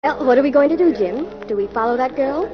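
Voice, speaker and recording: A woman playing a detective's curious young sidekick in 1940s radio style, eager, expressive and questioning. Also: high-pitched, quick pace. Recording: muffled old radio recording, music behind.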